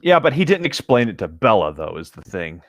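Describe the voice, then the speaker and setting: A man co-hosting a comedic read-aloud of fan fiction, chatting casually in a joking, halting way that often repeats words. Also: fairly low pitch, very animated, dry recording, dull audio.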